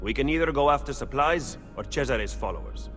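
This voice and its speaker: An actor playing a cool, formal strategist in a video game. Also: male voice, mid-range voice, expressive, a little fast, a bit bright, lightly acted. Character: cool, formal male Italian strategist and adviser. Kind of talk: dramatic video game acting. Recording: long hall reverb, faint music.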